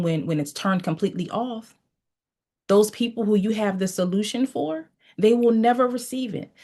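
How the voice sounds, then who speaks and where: A woman's voice: mid-range voice, a little mellow. A woman coaching in a motivational teaching session, preaching with repeated phrases and telling listeners to write key lines down. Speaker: mindset coach.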